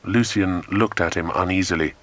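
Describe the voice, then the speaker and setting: A man narrating a scripted children's adventure story in an expressive, dramatic way. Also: low voice, moderate pace, no echo.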